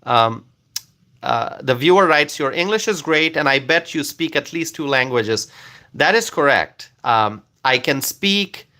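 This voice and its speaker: A man's voice: on the high side, expressive, unhurried, pushed and bright. A man whose first language is Bengali, hosting a casual live stream, reading viewer comments aloud and thanking people by name, with frequent fillers.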